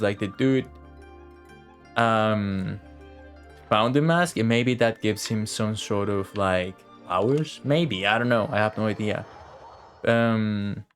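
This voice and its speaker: A man live streaming a game, chatting informally, swearing and thinking aloud as he plays. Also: fairly low pitch. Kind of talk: casual live playthrough commentary.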